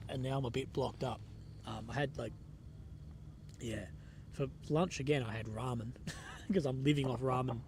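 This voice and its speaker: A man's voice: mid-range voice, moderate pace, long pauses. Young aussie guy with a blocked nose, chatting loose on a stream, lots of "like", noisy outdoor audio. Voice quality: stuffy nose, a bit unwell. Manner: relaxed, rambling, lots of fillers and "like". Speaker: livestreamer reporting from a conflict zone. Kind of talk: casual live-stream chat reading comments. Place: outdoors at night in a war zone.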